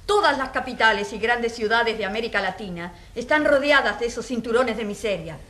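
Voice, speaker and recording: An actress as an impassioned high-voiced woman prosecutor. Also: fairly quick, fairly bright tone, lightly acted. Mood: indignant, grave. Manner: earnest, rhetorical, emphatic. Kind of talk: radio drama courtroom speech.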